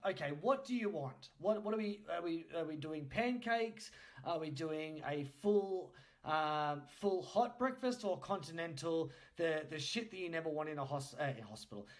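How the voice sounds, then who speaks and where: A man's voice: on the high side, lively intonation, moderate pace. A man hosting a podcast, interviewing in a casual, jokey, bantering way with a readiness to chuckle. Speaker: podcast host.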